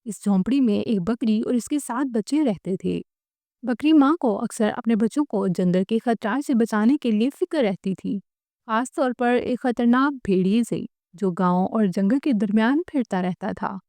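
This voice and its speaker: A woman narrates a story from a script in a fairly high voice. The sound is dry, with very little room in it, as she takes the role of storyteller. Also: moderate pace, dark tone, no echo.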